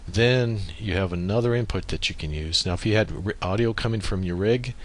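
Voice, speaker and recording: A man giving a step-by-step software tutorial as screencast narration, speaking into a USB microphone. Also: lowish voice, a little fast.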